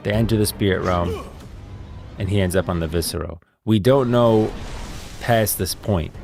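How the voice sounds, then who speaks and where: Creaky low-voiced man doing lore commentary. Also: normal speed, a little mellow, soft background music. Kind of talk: lore commentary, part scripted, part off the cuff.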